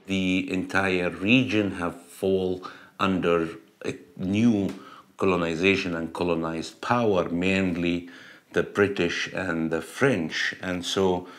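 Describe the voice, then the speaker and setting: A man speaking in a documentary interview, halting and full of fillers. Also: sits low, a bit bright.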